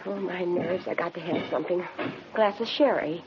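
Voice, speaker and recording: A woman's voice: fairly low pitch, normal speed, very noisy, close to an everyday voice. An actress playing a nervous, needy murder suspect in her late thirties in a 1950s radio drama, rambling and repeating herself pleadingly. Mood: anxious, defensive. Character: nervous, needy 38-year-old woman murder suspect, unraveling. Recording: muffled vintage radio broadcast recording.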